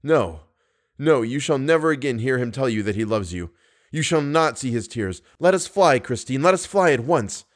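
A man's voice: mid-range voice, lively intonation, normal speed, natural voice. A man reading an earnest young lover. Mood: urgent.

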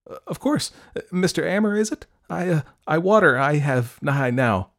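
Man as teenage girl, raised pitch, flustered, shy, stammering, storybook romance. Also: fairly even intonation, unhurried. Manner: stammering, hesitant, breathy hushed asides.

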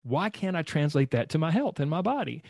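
A man's voice: a little high, very animated, brisk, vocal fry, darkish tone, dry recording, clean studio sound. A man hosting an interview, enthusiastic and encouraging, rambling a bit with restarts. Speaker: host. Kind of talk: interview, coaching podcast.

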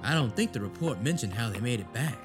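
A man's voice: mid-range voice, lively intonation, fast, lightly acted. An actor plays a skeptical senator in an audio drama. He has a mid-range male voice and questions everything bluntly, with a sardonic streak, over a music bed. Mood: curious, puzzled. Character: skeptical, brusque male American senator on inspection.

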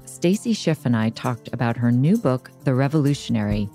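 A woman's voice: rumbling low, dark tone. A woman hosting and interviewing on a podcast in a conversational style.

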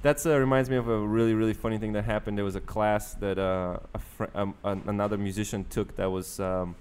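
Low-voiced man. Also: flat delivery, a little fast, darkish tone, a little hiss or hum. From Bulgaria. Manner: chatty storyteller, many fillers and laughs. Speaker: guest musician. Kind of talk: casual interview chat.

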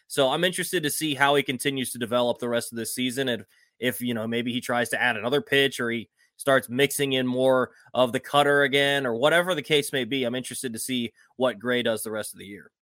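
A man's voice: mid-range voice, fairly even intonation, brisk, a bit bright, dry recording. A man co-hosting a sports show, talking through conversational baseball analysis.

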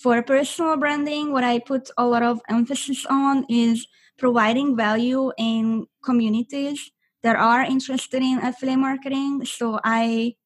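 A woman's voice: high voice, steady tone, normal speed. A young woman from Hungary, a native Hungarian speaker, chatting as an interview guest with many fillers and restarts. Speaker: guest affiliate marketer. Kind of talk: interview answers.

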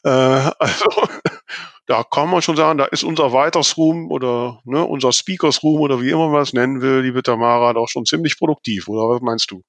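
A man's voice: mid-range voice, a little fast, a bit bright, no room sound. A man hosting a casual podcast conversation, often hesitating and searching for his words. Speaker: podcast host.

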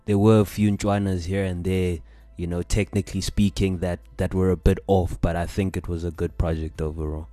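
A man's voice: low voice, level tone, fairly quick, dark tone, dry recording. A man in his twenties with a South African accent, co-hosting a casual chat in slangy language. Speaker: podcast co-host.

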